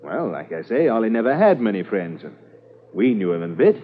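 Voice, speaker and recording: Talkative small-town man, folksy, animated intonation, 1950s radio drama, thin noisy old recording. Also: lowish voice, phone-quality sound. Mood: folksy, chatty. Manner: folksy, conversational, drawn-out phrases.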